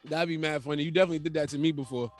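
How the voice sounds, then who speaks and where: A man hosting a podcast in casual chat, loud and animated, laughing often and talking in slang. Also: a little high, very fast, faint music behind. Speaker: podcast host.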